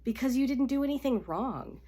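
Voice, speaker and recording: A woman's voice: sits high, lively intonation, brisk, mellow, voice pushed up. Lady doing a caring guy best friend from a romance book, earnest, stuffy with a cold. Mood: reassuring. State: congested with a cold. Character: loyal, protective, reassuring male best friend.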